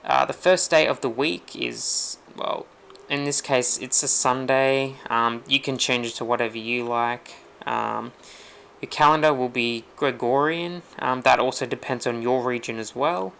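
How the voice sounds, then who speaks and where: Man, casual tech tutorial host, gravelly fry, easygoing and loose with plenty of ums. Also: mid-range voice, measured pace, slight background noise. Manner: relaxed, rambling, many ums. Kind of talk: casual screen-recorded walkthrough.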